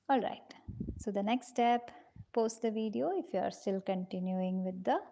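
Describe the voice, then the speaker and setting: A woman teaching a live online drawing class, gentle and patient, calling her students dears and guiding them step by step. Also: gravelly, a little hiss or hum. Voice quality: low-pitched voice, in her own words.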